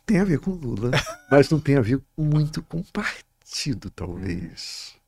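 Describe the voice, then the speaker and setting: A man's voice: medium pitch, big pitch swings, a little slow, creaky, a little mellow, slightly rough. A middle-aged man with a Carioca accent, chatting as a guest in a rambling, profane way and repeating his words. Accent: Carioca Brazilian Portuguese. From Rio de Janeiro, Brazil. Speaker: guest, writer and musician. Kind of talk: casual podcast chat.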